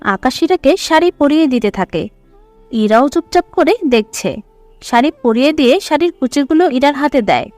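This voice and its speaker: A woman's voice: high voice, animated, brisk, darkish tone, no echo, faint music behind. A woman narrator reading a scripted dramatic story.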